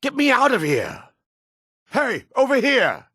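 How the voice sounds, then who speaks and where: An actor playing a jaded, sardonic male dark elf in a fantasy video game, with a husky voice. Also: very high voice, huge pitch swings, a little slow, no echo, studio quality, heavily acted. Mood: terrified. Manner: sardonic, disdainful. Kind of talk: video game character voice acting.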